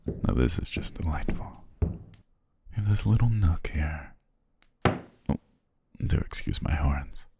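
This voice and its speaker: A man playing a courteous, self-amused demon king in an ASMR roleplay, speaking in a genteel, formal way with a husky, breathy voice. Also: expressive, slow, pauses often, very noisy, exaggerated voice. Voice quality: very deep, husky, gravelly, often breathy near whisper. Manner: leisurely, genteel, archly formal with soft chuckles.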